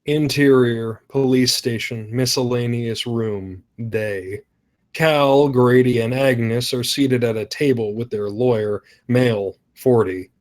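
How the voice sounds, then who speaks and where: A man's voice: mid-range voice, takes it slowly, a little mellow. A man narrating screenplay stage directions in a scripted table read.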